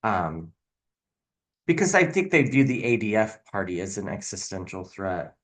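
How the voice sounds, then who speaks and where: Male tarot channel host, lowish pitch, unscripted and halting, speculative, thinking out loud as he reads. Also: sing-song. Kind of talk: casual tarot reading commentary on politics.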